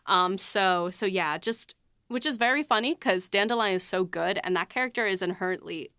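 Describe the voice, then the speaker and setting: A woman's voice: mid-range voice, normal speed, pushed and bright, clear, resonant tone, dry recording, clean studio sound. Chatty laughing woman writer. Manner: rambling, laughing, many fillers. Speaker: writer and podcast co-host. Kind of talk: casual behind-the-scenes chat.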